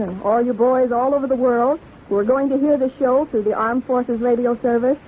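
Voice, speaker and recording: A woman giving gushing, admiring live fashion commentary as a correspondent on a 1940s radio broadcast. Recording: vintage radio broadcast, narrow band, hissy rumble.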